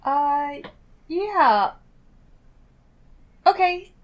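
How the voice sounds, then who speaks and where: A woman player casually narrating her character's moves in a tabletop game, thinking aloud haltingly. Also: high voice, expressive. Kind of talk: casual improvised roleplay narration.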